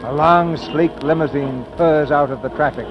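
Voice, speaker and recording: A man's voice: on the high side, normal speed. Polished vintage radio announcer, man, music behind. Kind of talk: 1940s radio scripted narration. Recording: dull old radio recording. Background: clear background music.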